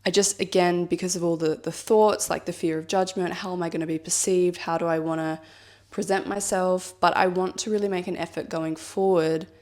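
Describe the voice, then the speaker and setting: A woman in her thirties speaks in a fairly low voice, a touch husky from a cold. She muses out loud to camera in a personal vlog, thoughtful and rambling, leaning on fillers like "like" and "I guess.". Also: steady tone, fairly quick. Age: 30s. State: has a cold, slightly husky. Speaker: vlogger. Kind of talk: reflective personal vlog talk.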